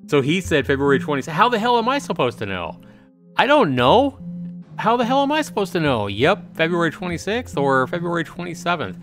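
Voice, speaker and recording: A man's voice: mid-range voice, fairly bright tone. A man giving casual live gameplay commentary, thinking aloud and repeating himself as he talks to his viewers. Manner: repetitive, thinking aloud, addressing chat viewers. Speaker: livestream host playing a visual novel.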